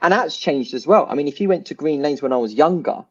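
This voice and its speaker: A man from South London, a guest in a casual discussion, talking in an animated, thoughtful way with frequent restarts. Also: a little high, quick pace, breathy edge, muffled recording. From South London, England.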